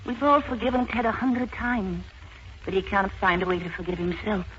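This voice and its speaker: An actress in a 1940s radio drama playing a frail, dying elderly mother, kindly and quietly determined, with a weary, tender delivery. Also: mid-range voice, fairly even intonation, normal speed, close to an everyday voice. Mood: earnest, urgent. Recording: muffled, noisy 1940s radio recording.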